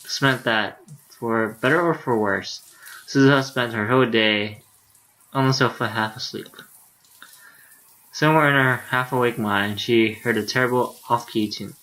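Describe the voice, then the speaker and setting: A man's voice: medium pitch, moderate pace, bright, forceful tone, rough recording. Tired, laid-back man reading aloud on stream. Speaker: live streamer. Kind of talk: reading a visual novel's translation aloud, with casual asides.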